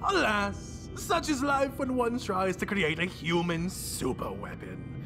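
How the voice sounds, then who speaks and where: An actor playing a flamboyant, ancient mastermind villain in a video game, pompous, grandiose and mock-polite with theatrical delivery. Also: male voice, high-pitched, big pitch swings, unhurried, over music, full character voice.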